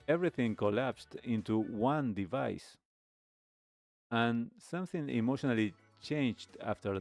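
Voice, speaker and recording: A man with a fairly low voice hosts a reflective radio segment. He speaks very slowly and deliberately, leaving pauses between phrases. Faint music plays behind him. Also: darkish tone. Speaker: radio show host. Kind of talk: reflective talk show monologue.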